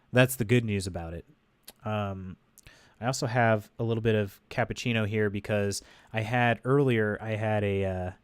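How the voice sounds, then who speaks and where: A man's voice: on the low side, a little mellow, dry recording. A congested man chatting loosely on a game livestream, rambling through his thoughts with plenty of fillers and restarts. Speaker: streamer. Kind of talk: casual livestream chat. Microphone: desk microphone. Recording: dry close sound.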